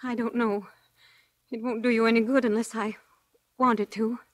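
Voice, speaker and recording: An actress as an earnest, high-voiced anxious woman. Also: flat delivery, moderate pace, dry recording, studio quality, lightly acted. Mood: concerned. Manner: earnest, urgent. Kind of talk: 1940s radio drama acting.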